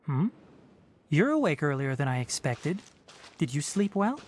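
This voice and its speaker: A man voicing a calm, principled young male forest ranger and former scholar in a video game, explaining things in a measured, gently lecturing way. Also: fairly high pitch.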